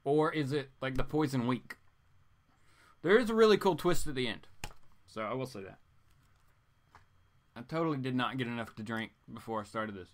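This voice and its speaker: Male live stream host, medium pitch, loose chatty delivery, informal and playful, drifting from exclamations to mutters. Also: normal speed, pauses often. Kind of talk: casual rambling chat, reading viewer comments.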